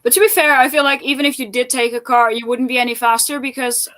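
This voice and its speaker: A woman's voice: a little high, fairly even intonation, fast talker, a bit bright. A woman from the Netherlands, chatting casually and informally as co-host of a live stream. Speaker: co-host of a book readalong stream. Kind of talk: casual chat.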